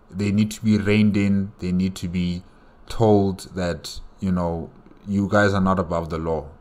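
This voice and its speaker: A man hosting a reaction video, giving opinionated, off-the-cuff commentary in a hesitant way. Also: deep voice.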